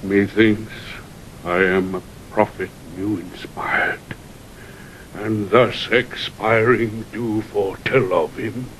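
A man's voice: fairly even intonation, slow pace, a bit bright, low-quality recording. An actor playing a dying old nobleman, solemn and prophetic, declaiming weighty Shakespearean verse in an audio drama. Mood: foreboding. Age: older. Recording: vintage 1960 recording with faint rumble.

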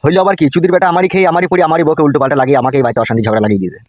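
A man's voice: on the high side, expressive, racing, no room sound, highs cut off. A man voicing a coarse, grumbling, aggrieved big brother in a comic cartoon. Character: crude, aggrieved big brother, sweary philandering husband.

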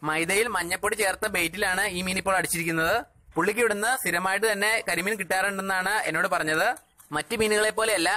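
A man's voice: high-pitched, sharp tone. A young man from Kerala, host of a fishing channel, narrating a scripted voice-over in an informative, explanatory way. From Kerala, India.

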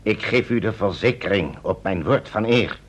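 A man's voice: fairly low pitch, normal speed, slightly rough. A man playing a formal, protective, indignant doctor in a vintage radio play. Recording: dull vintage radio drama recording.

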